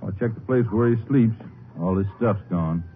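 Male actor playing rustic rancher, low pitch, Western American accent, grumbling, folksy, noisy vintage radio drama. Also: moderate pace, lightly acted. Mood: folksy, matter-of-fact. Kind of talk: 1950s radio western acting. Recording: noisy, tinny 1950s radio recording.

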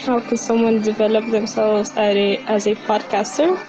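A woman's voice: medium pitch, little pitch movement, normal speed, lo-fi sound. A woman from Sudan leaving an eager voice message for a podcast, hesitant and full of fillers. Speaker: listener calling in. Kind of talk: recorded voice message.

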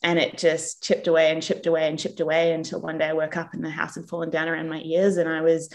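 A woman's voice: low-pitched, fast. Earnest woman with a mixed Australian-New Zealand accent. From England, raised in Australia. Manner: earnest and animated, acts out quoted people. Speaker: guest. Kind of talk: personal story in a podcast interview.

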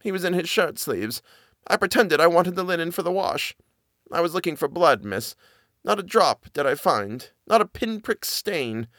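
A man's voice: a little high, animated, normal speed, fairly bright tone, no echo, studio quality, voice pushed up. A man voicing a frightened middle-aged housekeeper who stammers in plain servant speech. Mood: fearful.

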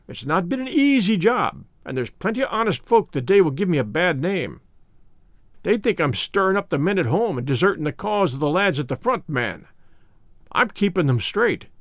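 A man's voice: high-pitched, no echo, studio quality, exaggerated voice. Man voicing a fiery old Scottish radical. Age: older. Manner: broad dialect, forceful and opinionated. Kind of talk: audiobook character voice.

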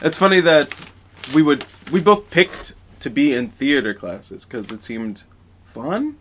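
Young guy cracking up mid sentence, bright voice, kinda high. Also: very animated, a little slow, slight background noise. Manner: giggly, laughs through lines, riffs on bits. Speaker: podcast co-host. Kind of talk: improvised comedy banter.

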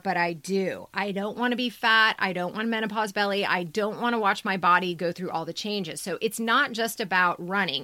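A woman's voice: medium pitch, pushed and bright, no echo. A woman co-hosting a podcast as a story editor, talking in a direct, emphatic, conversational way.

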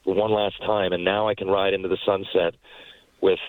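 A man's voice: low voice, flat delivery, fairly quick, no room sound, rough recording. A man in his forties from Newfoundland, a guest who talks in a heartfelt, rambling way with many restarts. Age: 40s. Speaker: guest, retired hockey player. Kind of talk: casual interview talk.

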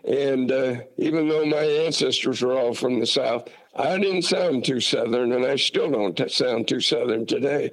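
A man's voice: mid-range voice, normal speed, few pauses, low-quality recording. A man from Utah talking casually about family history, folksy and rambling with many fillers and restarts. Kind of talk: casual conversation.

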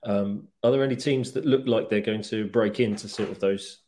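A man hosting a casual football podcast, speaking in a measured way as he steers the chat and sums up results. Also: fairly low pitch, fairly even intonation, dark tone, a little hiss or hum. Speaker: podcast host.

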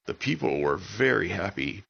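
A man's voice: low voice, big pitch swings, normal speed, creaky, bright, forceful tone, husky, dull audio. A man telling an improvised bedtime story for children, using simple, repetitive wording. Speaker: children's story podcast narrator.

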